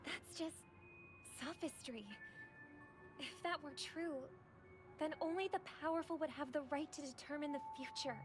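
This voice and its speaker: A woman playing an earnest, principled young sister in a video game, speaking in a measured and articulate way. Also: high-pitched, close to an everyday voice. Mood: firm disagreement. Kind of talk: dramatic video game dialogue. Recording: music behind the voice.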